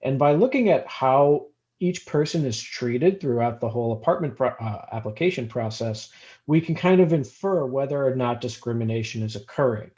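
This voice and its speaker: A man explaining his work as a panelist, speaking haltingly and stumbling over words. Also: medium pitch, expressive, warm tone. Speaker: fair housing investigator on a panel. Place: online video call. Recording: slightly dull video-call audio.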